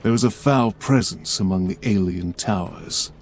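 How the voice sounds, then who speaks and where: A man's voice: sits low, normal speed, gravelly, darkish tone, slight background noise. An actor playing a weary, bleak space marine warrior-priest in a video game, formal, somber and bitter in his delivery. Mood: grim report. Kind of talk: dramatic video game cutscene acting.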